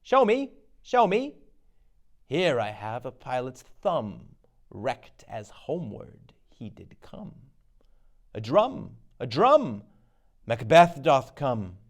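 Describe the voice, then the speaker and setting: A man performs an old witch from a classic stage tragedy, spiteful and prophetic. The chant is slow and creaky, with wild leaps up in pitch and sudden cries. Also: wildly swooping pitch, heavily acted. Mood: eager chanting. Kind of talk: dramatic Shakespeare reading.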